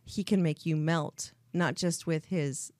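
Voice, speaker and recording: A woman's voice: sits low, fairly even intonation, slow, darkish tone, dry recording, clean studio sound. A woman hosting a casual talk show, rambling and giggling, with a strong voice and a constant "you know". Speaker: host. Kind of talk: casual chat.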